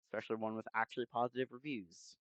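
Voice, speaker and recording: A man chatting casually and haltingly as he hosts a livestream, speaking into a headset mic. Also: mid-range voice, level tone, no echo. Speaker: game streamer, host. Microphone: new headset microphone.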